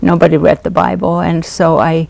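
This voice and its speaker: Older woman, interview guest; low, gravelly, halting delivery. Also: flat delivery, darkish tone, dry recording, rough recording. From Finland. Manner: hesitant, many pauses and fillers. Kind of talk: personal testimony interview.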